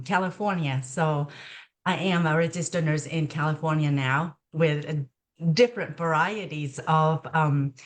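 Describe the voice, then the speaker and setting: A middle-aged woman from Thailand with a Thai accent, a nurse speaking as an interview guest, slow and hesitant with many pauses and fillers. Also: low-pitched. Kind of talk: conversational interview.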